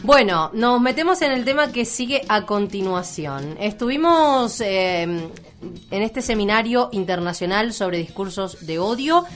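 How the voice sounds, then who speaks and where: An Argentine woman hosts a community radio program, talking in a relaxed, chatty way at a middle pitch. She moves easily between banter and longer spoken reports, with faint music sitting under her voice. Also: measured pace. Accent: Argentine Spanish. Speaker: radio host. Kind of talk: casual radio chat and report.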